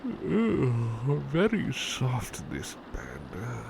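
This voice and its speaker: A man playing a devoted, tender butler in an intimate ASMR roleplay, speaking in a hushed, sleepy, halting voice that often slips into whispers. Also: medium pitch, huge pitch swings, creaky, background noise, full character voice. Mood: drowsy, soothing. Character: devoted, tender male butler comforting a beloved at bedtime.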